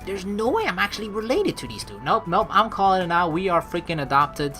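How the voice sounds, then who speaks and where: Low, animated young woman playing games. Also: brisk. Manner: expressive, reactive, sweary. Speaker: let's play host. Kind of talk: game commentary, reading narration aloud. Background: game music.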